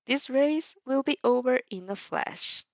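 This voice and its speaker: A woman playing a mellow, polite young student hero with dry confidence in an audio story. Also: high-pitched, animated, measured pace, creaky, no echo, highs cut off, voice pushed up, heavily acted. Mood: cockily confident.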